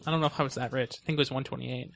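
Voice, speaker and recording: A man's voice: medium pitch, fairly even intonation, racing, gravelly, a bit bright, soft background music. A young adult man hosting a casual tech podcast, chatting informally with his co-host.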